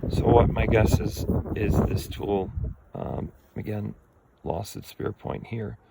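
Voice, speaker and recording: A low, gravelly man talking through a cold, his voice fraying as he rambles through an explanation. He hesitates constantly, filling gaps with ums and uhs. A steady hiss of noise sits under the recording. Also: slow. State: losing his voice, a little sick. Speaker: artifact collector explaining finds. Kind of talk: casual show-and-tell explanation.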